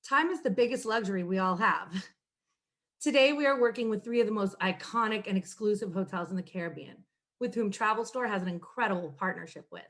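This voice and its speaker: A woman's voice: mid-range voice, a bit bright. A woman co-presenting a prepared travel video podcast as a destination specialist.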